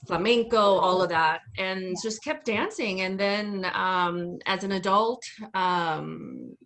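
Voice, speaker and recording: A woman who teaches bachata classes, chatting casually in a podcast interview, relaxed and hesitant with many fillers. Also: mid-range voice, takes it slowly, vocal fry, a little hiss or hum. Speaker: bachata dance instructor.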